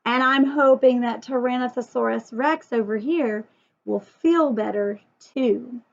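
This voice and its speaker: A woman's voice: fairly high pitch, measured pace, gravelly. A woman teacher leading a story time lesson for young children, asking lots of questions and emphasising key words. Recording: dull lo-fi sound.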